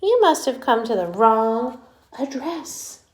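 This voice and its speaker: A woman's voice: a little high, very animated, slow pace, voice pushed up. Woman doing a prim lady giraffe from a kids book, polite but dismissive, voice up a bit, echoey. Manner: courteous, formal. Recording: echoey room.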